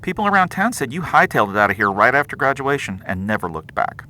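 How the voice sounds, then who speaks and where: Investigator for mystery audio drama: a suspicious, businesslike questioner, forceful bright tone, lively intonation. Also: medium pitch, fast talker. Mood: accusing. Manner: businesslike, probing. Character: brisk, pressing, suspicious investigator.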